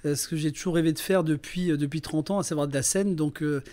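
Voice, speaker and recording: Fifty-something man, slightly high voice. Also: steady tone, fast talker, a little mellow. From northern France. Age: 50s. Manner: hesitant, many fillers and restarts. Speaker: podcast guest, entrepreneur and performer. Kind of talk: casual interview talk.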